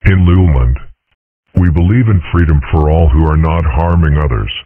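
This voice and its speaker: A man's voice: very deep voice, normal speed, dry recording. A man voicing a pompous, eccentric dragon father in a comic strip dub, speaking with procedural formality. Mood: solemn. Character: pompous, eccentric dragon father, self-styled head of state. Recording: muffled narrow radio-like sound.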